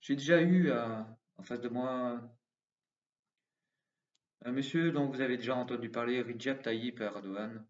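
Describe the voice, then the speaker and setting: A man's voice: mid-range voice, measured pace, pauses often. Man, impassioned appeal, slogans repeated, echoey room, dull muffled sound. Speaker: commentator. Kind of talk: direct appeal to viewers. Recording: roomy, distant, dull sound.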